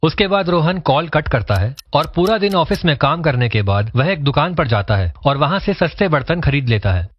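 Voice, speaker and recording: A man's voice: a little high, sing-song, moderate pace, hardly any pauses, husky, no room sound. A man narrating a scripted story. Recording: dull, lo-fi voice track.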